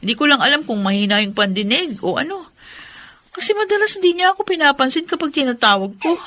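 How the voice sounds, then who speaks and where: A Filipino woman acting a weary, fretful young mother in a radio drama, expressive and easily exasperated. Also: high-pitched, fast talker. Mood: exasperated, anxious. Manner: expressive, exasperated when pressed.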